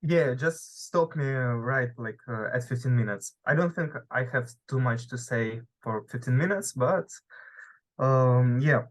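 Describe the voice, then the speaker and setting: A man from Ukraine speaking on a forum panel over a video call, halting, with many pauses on fillers. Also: medium pitch, poor audio.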